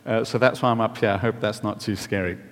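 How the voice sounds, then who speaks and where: A man's voice: on the low side, steady tone, fast. A man with a South African accent giving a chatty sermon, with many fillers, asking the audience questions. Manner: chatty, friendly, filler-heavy. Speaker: preacher. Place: church hall. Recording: reverberant church hall.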